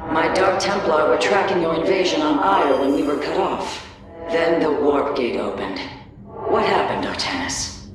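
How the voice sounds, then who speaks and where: A woman's voice: sits low, expressive, normal speed. A woman playing a proud alien matriarch in a game, solemn, formal and intense. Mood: troubled. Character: proud, grave female alien matriarch under siege. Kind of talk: dramatic game cutscene acting. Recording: very echoey, distant transmission under loud music.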